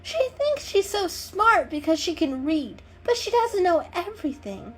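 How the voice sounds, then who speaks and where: A woman's voice: extremely high pitch, sing-song, measured pace, a little hiss or hum, voice pushed up, full character voice, rough recording. A woman voicing a haughty, scornful female cat in a storybook reading, with a snooty, scoffing way of talking.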